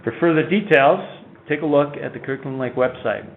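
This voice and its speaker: A man's voice: a little high, fairly quick, a little hiss or hum. A man speaking as a company executive on an earnings conference call. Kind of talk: earnings call presentation and answers. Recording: muffled phone-line conference audio.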